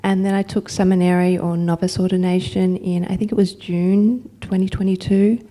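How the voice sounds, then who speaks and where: A Buddhist nun in her forties from Australia introducing herself at a retreat, unhurried and hesitant with many fillers. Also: on the low side, flat delivery, warm tone. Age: 40s.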